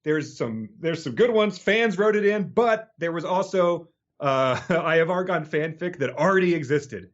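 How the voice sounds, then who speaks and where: A man's voice: on the high side, expressive, moderate pace, few pauses. A man co-hosting a podcast, chatting in an informal, jokey, rambling way. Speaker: podcast co-host. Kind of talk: casual chat, bantering about books. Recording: slightly dull, lo-fi audio.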